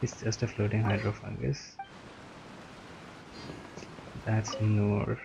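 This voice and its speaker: A man giving casual, low-key reaction commentary as he streams a game, muttering in short remarks. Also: little pitch movement, moderate pace, gravelly, lo-fi sound, noisy background. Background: game music.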